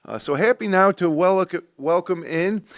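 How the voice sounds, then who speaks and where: Gravelly-voiced middle-aged male talk show host. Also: high-pitched, unhurried, dry recording, clean studio sound. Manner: relaxed, conversational with many fillers. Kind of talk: local talk show hosting with ad reads. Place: studio.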